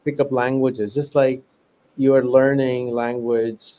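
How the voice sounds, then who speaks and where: A man's voice: mid-range voice, little pitch movement, measured pace, warm tone, slight background noise. A man with an accent leaning American, earnestly explaining his ideas in a casual interview, listing examples with restarts and fillers. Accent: non-native English, American-leaning. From Pakistan. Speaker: podcast guest, education founder.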